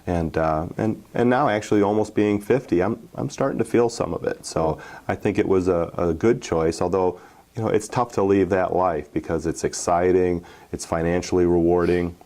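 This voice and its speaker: A man in his forties, a former football player, telling stories from his playing days in a relaxed interview, with many fillers and restarts. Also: low voice, moderate pace. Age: 40s. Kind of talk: casual storytelling interview.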